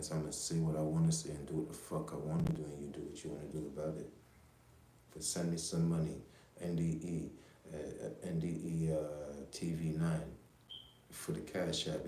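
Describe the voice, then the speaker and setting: A man from Jamaica with a very deep, dark, flat voice rambles through a profane livestream monologue. He leans on slang and keeps checking in with his listeners. The sound is roomy and echoey, with a faint hiss underneath. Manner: rambling, profane, streetwise, repeats "you know what I'm saying". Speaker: livestream host.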